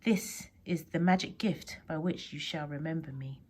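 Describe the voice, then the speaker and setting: A woman's voice: low-pitched, normal speed, breathy edge. Protective fairy godmother acted by a woman storyteller, urgent and rhythmic, for a children's poem reading. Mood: earnest, urging. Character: protective fairy godmother, earnest, giving a magic gift. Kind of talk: poem read aloud to children.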